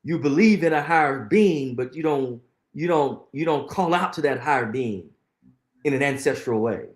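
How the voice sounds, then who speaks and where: A middle-aged man with a somewhat high voice talks earnestly in an interview, pressing his points and repeating phrases for weight. The sound carries a noticeable room echo. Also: animated, moderate pace. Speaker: guest. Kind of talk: conversational interview, reflective storytelling.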